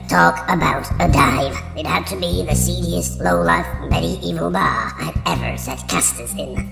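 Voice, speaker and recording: Echo-drenched little robot hoodlum, very creaky, noir narration for audio comedy, music underneath. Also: female voice, sits low, full character voice. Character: small low-life robot hoodlum narrating, film-noir gangster. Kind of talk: hard-boiled scripted narration in a comedy audio drama. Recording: echoey hall reverb, music behind.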